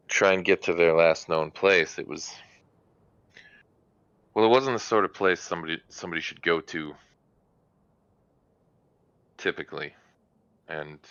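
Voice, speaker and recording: A man voicing a hospitable, harried small-town mother in a tabletop game, candid, with halting, conversational phrasing. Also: low-pitched, sharp tone, clean tone, no room sound, highs cut off, full character voice.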